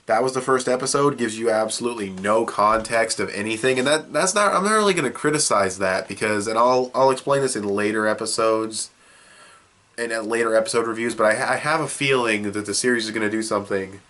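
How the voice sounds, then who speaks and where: A man's voice: lowish voice, a bit bright. A young man with a cold, sniffling as he reviews a show in a loose, rambling way full of fillers. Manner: rambling, loose and offhand, with many fillers and restarts. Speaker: video reviewer. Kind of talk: casual video review.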